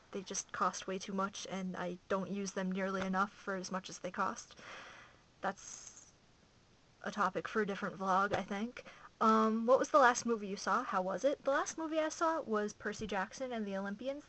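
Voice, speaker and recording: A woman's voice: mid-range voice, a bit bright, slight background noise, poor audio. A young woman from Massachusetts chatting casually on her home video blog, gushing and rambling with enthusiasm, recorded on a camera mic. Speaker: vlogger.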